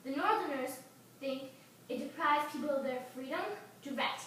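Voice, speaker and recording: A woman's voice: high voice, moderate pace, fairly bright tone. A woman voicing a pompous, self-important male statesman in a puppet show, in an echoey recording. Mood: dismayed. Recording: echoey room, distant mic, faint noise.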